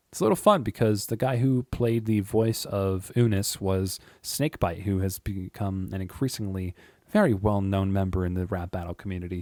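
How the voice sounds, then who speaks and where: A man with a deep voice, chatting casually and rambling to his viewers, with frequent chuckles and filler words. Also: darkish tone, no room sound, clean studio sound. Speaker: YouTube creator. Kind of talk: casual monologue to camera.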